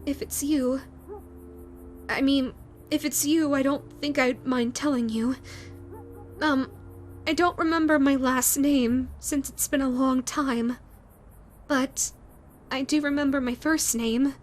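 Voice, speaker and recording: A woman voicing a shy, flustered female ghost in a romantic audio roleplay, stammering and halting, tender and full of self-doubt. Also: high voice, fairly even intonation, faint music behind. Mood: surprised, hesitant. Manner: stammering, hesitant, halting with repeated words.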